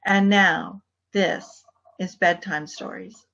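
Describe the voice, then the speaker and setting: A woman's voice: on the low side, fairly even intonation, slow, creaky, fairly bright tone. A woman reading a scripted introduction as a program announcer. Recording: slightly muffled, dull sound.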